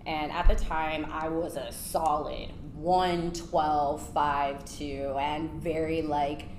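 A woman co-hosting a casual group podcast chat, loud and brassy, laughing often. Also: deep voice, fairly even intonation, takes it slowly, roomy sound, slight background noise. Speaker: podcast co-host.

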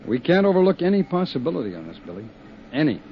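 A man's voice: on the high side, sing-song, fast talker. An actor playing an earnest male official who speaks urgently and insistently, in a muffled 1950s radio drama. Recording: muffled 1950s radio broadcast with faint hiss.

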